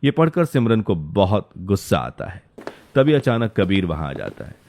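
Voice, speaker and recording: A man's voice: lowish voice, very animated, moderate pace, a little mellow, a little hiss or hum. A man narrating a story in a scripted, dramatic style. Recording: music behind the voice.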